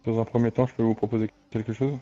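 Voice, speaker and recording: A man's voice: mid-range voice, level tone, quick pace, dark tone, soft background music, close to an everyday voice. A man playing a composed crime boss in an online roleplay, questioning in a formal manner. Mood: cool, probing. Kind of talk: improvised online roleplay dialogue. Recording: muffled lo-fi game voice chat.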